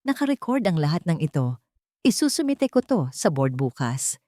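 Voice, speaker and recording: A woman's voice: lowish voice, sing-song, moderate pace, warm tone, no room sound, studio quality. A woman voicing an anxious, defiant man confronting betrayers in an audio drama, urgent and nervous. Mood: nervous defiance.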